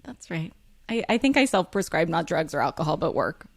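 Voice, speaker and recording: A woman, talking candidly as an interview guest, frank and self-deprecating with lots of fillers and quick laughs. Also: deep voice, big pitch swings, dry recording. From United States. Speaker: author and guest.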